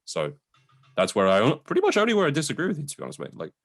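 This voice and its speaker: A man's voice: a little high, huge pitch swings, very fast, gravelly. A man co-hosting a casual, conversational film review, informal and sometimes sweary as he qualifies his opinions.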